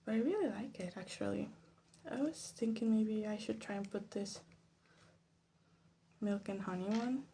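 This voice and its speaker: Woman, ASMR host: hushed, half-whispered and crackly with fry, thinking aloud, faint noise on a phone recording. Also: medium pitch, moderate pace, pauses often. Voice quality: soft, breathy half-whisper with creaky fry. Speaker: vlog host. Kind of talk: ASMR casual commentary. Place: her bedroom.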